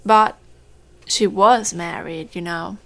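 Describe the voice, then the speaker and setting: A woman's voice: lowish voice, fairly even intonation, slow pace, creaky, a bit bright, exaggerated voice. An actress playing a kindly, middle-aged, motherly farm woman in a naturalistic audio drama. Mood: soft, sorrowful.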